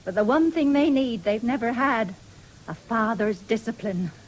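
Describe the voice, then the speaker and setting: A woman's voice: a little high, moderate pace, lightly acted, poor audio. An actress with an English accent playing a firm but loving country mother in a radio drama. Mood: polite. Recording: faintly hissy 1970s radio recording.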